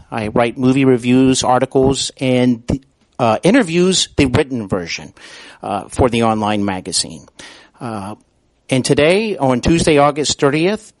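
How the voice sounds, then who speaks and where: A man with a mid-pitched voice hosts an interview podcast. He talks in an earnest, halting manner. The sound is close and dry, with little room in it. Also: dry recording, poor audio. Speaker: podcast host. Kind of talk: interview with spoken introduction.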